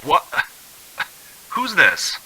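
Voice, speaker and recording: An actor playing a distraught, haunted traveler in a radio drama, speaking dramatically and stammering when shaken. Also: male voice, very slow, sharp tone, full character voice, poor audio. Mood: panicked disbelief. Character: distraught, haunted male traveler on the edge of panic. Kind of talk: old-time radio drama acting.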